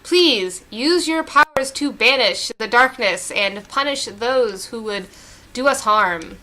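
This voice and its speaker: A woman voicing a solemn female tree spirit from a video game, reading formal dialogue slowly and earnestly. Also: fairly high pitch, lively intonation, bright, forceful tone, exaggerated voice, rough recording.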